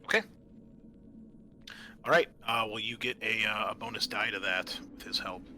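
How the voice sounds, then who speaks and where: A man acting as game master of a tabletop roleplaying game, talking in a halting, conversational and accommodating way. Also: lowish voice, steady tone, sharp tone, slight background noise.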